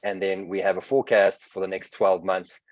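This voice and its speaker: A man with a South African accent giving a webinar presentation and software demo, explaining steadily with frequent hesitant fillers. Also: deep voice, little pitch movement, brisk, no echo. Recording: dull lo-fi webinar audio.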